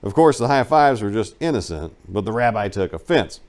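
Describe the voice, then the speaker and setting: A man narrating a first-person memoir as a dramatic reading, with emphatic storytelling and some drawn-out phrases. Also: lowish voice, sing-song, darkish tone. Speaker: narrator reading a book aloud.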